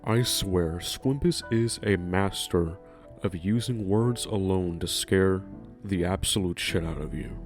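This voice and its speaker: Low-voiced guy reading his top ten list, eager and a bit sweary, soft music underneath. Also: unhurried. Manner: enthusiastic, casual swearing. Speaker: video host. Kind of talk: scripted narration of a ranking video.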